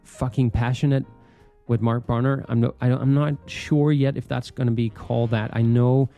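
Creaky, mellow Danish man hosting a podcast. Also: medium pitch, fairly even intonation, normal speed, soft background music. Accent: Danish with American leanings. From Denmark. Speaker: podcast host. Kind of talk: casual solo podcast introduction.

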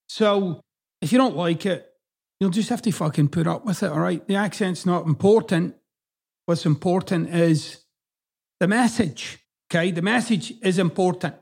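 Casting: middle-aged Scottish man, Americanized accent, higher-pitched, gravelly podcast host, blunt and sweary. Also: darkish tone. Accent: Scottish, partly Americanized. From Scotland. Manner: blunt, swears freely, emphatic. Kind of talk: casual monologue.

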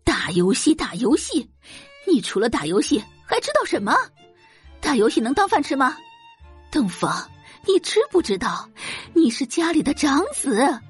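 A woman's voice: sits high, very animated, normal speed, husky, soft background music, voice pushed up, full character voice. A woman playing an exasperated middle-aged wealthy mother and nagging wife in an audio drama, scolding and complaining. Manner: scolding, complaining, turning coaxing for money.

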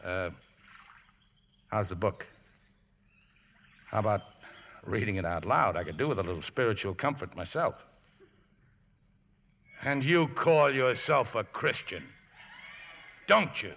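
Animated, rough-edged riverboat skipper. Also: male voice, on the low side, unhurried, long pauses, exaggerated voice. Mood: drunk, needling. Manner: folksy, ungrammatical working-man speech. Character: scrappy, rough-mannered male riverboat skipper, plain-spoken, good-hearted. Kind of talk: 1950s radio drama acting. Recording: muffled, noisy, reverberant old radio recording.

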